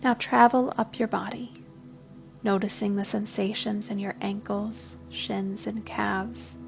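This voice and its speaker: A woman gently leading a scripted guided body scan meditation, giving unhurried instructions as a therapist. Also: medium pitch, little pitch movement, gravelly, dull audio. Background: soft music.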